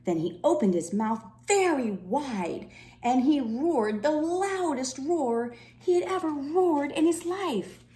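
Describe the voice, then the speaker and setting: A woman reading a children's storybook aloud in an expressive narrating style. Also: sits high, takes it slowly. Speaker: storybook reader. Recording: roomy echo, faint background noise.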